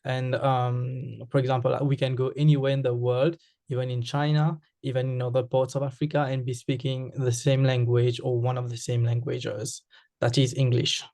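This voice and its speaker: Man, mauritian accent, creole first language, flat even pitch, mellow tone, dry sound, hesitant with fillers. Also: moderate pace, no echo. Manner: hesitant, many fillers and restarts. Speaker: interviewee. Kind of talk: casual interview answers.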